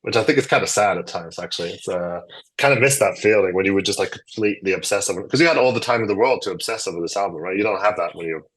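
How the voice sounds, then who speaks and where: A man's voice: sits low, fast talker, husky, rough recording. A Norwegian man, a guitarist guest with a Norwegian accent, chatting casually and rambling with many fillers and restarts. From Norway. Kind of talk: casual chat.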